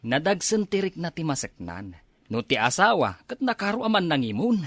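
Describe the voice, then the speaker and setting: A man's voice: a little high, very animated, normal speed, no room sound, clean studio sound. A man playing an easygoing drinking buddy who gives frank advice in a radio drama, talking casually. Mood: casual, advising.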